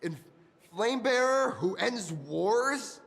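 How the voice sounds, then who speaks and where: An actor playing a bitter, defiant dissident in a fantasy video game, dramatic and scornful. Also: male voice, high voice, lively intonation, slow, gravelly, a bit bright, exaggerated voice. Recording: long reverb, music underneath.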